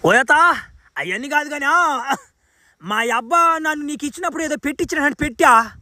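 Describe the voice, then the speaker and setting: Man voicing a very high-pitched brash cartoon lad. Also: moderate pace, pushed and bright, dry recording, clean studio sound, heavily acted. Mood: baffled, irritated. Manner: colloquial, crude, exclamatory. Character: brash, foul-mouthed young superhero son, easily baffled. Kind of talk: amateur cartoon dub.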